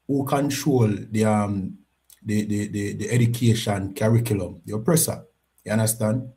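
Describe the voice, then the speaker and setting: A man's voice: on the low side, warm tone. A man with a Jamaican accent teaching a religious class, often asking "you know what I mean?". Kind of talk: lecture.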